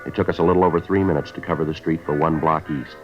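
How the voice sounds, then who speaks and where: A stoic police detective with an extremely low voice, clipped and deadpan. He sounds businesslike in a vintage radio crime drama, with a dull broadcast sound and music behind him. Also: quick pace. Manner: clipped, matter-of-fact, deadpan. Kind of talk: 1950s radio crime drama narration and dialogue. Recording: muffled old radio broadcast.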